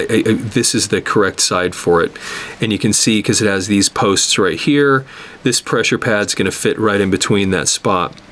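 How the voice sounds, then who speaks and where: A man giving an unscripted hands-on repair tutorial, explaining step by step in a halting way and repeating words as he works. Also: on the low side, a bit bright, a little hiss or hum. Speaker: instructor demonstrating cassette tape repair.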